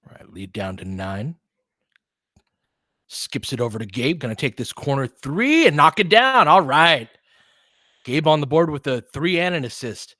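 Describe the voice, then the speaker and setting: A man calling basketball play-by-play with quick, excitable calls and chatty asides, on a close mic at home. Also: on the high side, no echo. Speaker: play-by-play commentator. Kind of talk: fan-made basketball play-by-play commentary.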